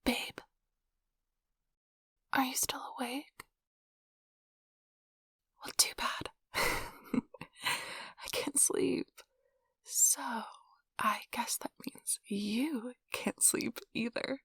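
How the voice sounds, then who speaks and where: A woman playing an affectionate, playful, nerdy young girlfriend in an intimate, soft-spoken ASMR role-play, with a breathy, whispery voice and a tender, teasing manner. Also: a little high, extremely slow, long pauses, dry recording, full character voice. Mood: sleepy, tender.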